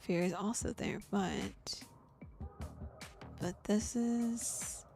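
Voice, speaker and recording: Woman streamer w a gravelly edge, reads the game text then reacts all animated, soft music under it. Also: mid-range voice, very slow. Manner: animated reactions, gasps and laughs between read passages. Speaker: streamer reading a visual novel aloud. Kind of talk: game playthrough, reading text plus reactions.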